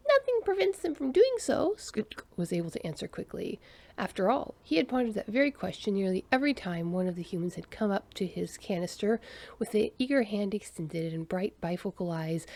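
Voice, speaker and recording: A woman's voice: fairly low pitch, a little mellow. A woman narrating a science fiction story as the author-reader. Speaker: audiobook narrator.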